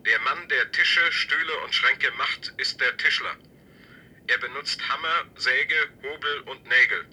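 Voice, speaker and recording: An actor playing a possessive, menacing camp inmate in a radio drama, reciting short simple sentences in a rigid, repetitive way. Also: male voice, medium pitch, unhurried, pushed and bright, breathy edge, full character voice, rough recording.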